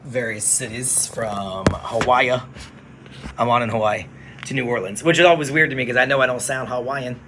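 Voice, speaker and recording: A man who works as a radio DJ, chatting casually on a phone livestream from his studio, relaxed and explaining things to viewers. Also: medium pitch, moderate pace, noisy background.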